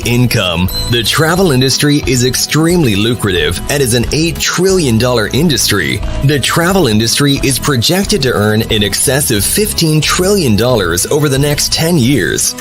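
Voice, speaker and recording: A man reading a scripted commercial in a smooth, lively sales delivery. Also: mid-range voice, normal speed, hardly any pauses. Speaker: ad announcer. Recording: ad read over music.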